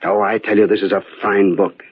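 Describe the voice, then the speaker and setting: An actor playing an earnest, bookish bird enthusiast in a radio drama, pedantic and eager with small hesitations. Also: male voice, lowish voice, level tone, a little fast, husky. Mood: eager, absorbed. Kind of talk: old-time radio drama acting. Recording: tinny, narrow-band old radio recording.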